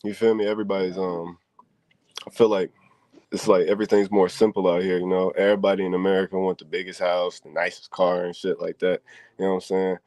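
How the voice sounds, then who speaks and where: A man's voice: sits low, little pitch movement, quick pace, darkish tone, no room sound. A young man, a wrestler guest on an interview, talking in laid-back slang and saying "you know what I'm saying" constantly. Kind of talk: casual interview chat.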